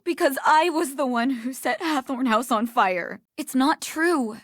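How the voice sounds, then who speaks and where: An actress playing a guilt-stricken, frightened woman in a video game, speaking in a halting, pleading way. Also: high-pitched, a bit bright, dry recording, clean studio sound. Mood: guilty, distraught.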